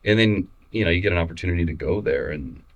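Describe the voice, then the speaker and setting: Very deep-voiced man, easygoing and halting. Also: dull audio. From Missouri, USA. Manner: relaxed, halting, many restarts and fillers. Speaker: photographer guest. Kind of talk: casual interview conversation.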